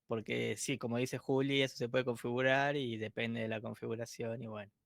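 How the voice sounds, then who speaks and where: A man's voice: mid-range voice, flat delivery, fairly quick, creaky, no room sound, clean studio sound. A man, co-teaching an online workshop in a casual, chatty way, laughing easily. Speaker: co-instructor.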